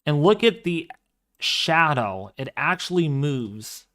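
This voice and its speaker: A man in his twenties hosting a casual livestream, talking through an astronomy program with excitable exclamations as he thinks aloud. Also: a little high, slow pace. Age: 20s. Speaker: livestream host.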